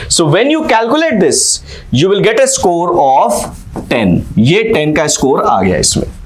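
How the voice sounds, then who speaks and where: Guy teaching exam stuff, kinda high voice, asks himself questions then answers, keeps saying theek hai. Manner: Hinglish, asks and answers his own questions; frequent "ठीक है?" check-ins. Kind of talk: explaining exam scoring.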